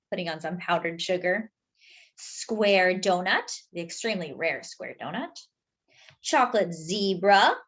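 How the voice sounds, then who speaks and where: A woman reading a picture book aloud expressively to young children, pausing to explain things as she goes. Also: mid-range voice, highs cut off. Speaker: children's storyteller. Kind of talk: animated picture-book read-aloud with asides.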